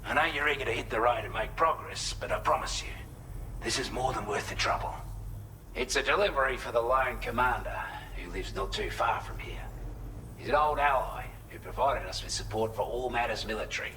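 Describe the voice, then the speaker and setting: A forceful, bright-edged Australian man, played by an actor, briefing a courier in a video game. He is plain-spoken and earnest, talking conversationally at an ordinary male pitch. Faint background ambience sits under the voice. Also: fairly quick, slight background noise, close to an everyday voice, poor audio. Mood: matter-of-fact, cautionary. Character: matter-of-fact male shelter resident, allied quartermaster briefing a courier. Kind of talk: video game cutscene dialogue, mission briefing.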